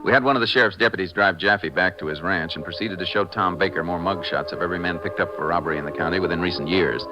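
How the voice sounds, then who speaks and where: A man playing a terse, steady Texas Ranger who questions and narrates matter-of-factly in a 1950s radio drama. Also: low voice, fast, few pauses, music in the background, close to an everyday voice. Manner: laconic, matter-of-fact questioning. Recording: muffled, noisy 1950s radio recording.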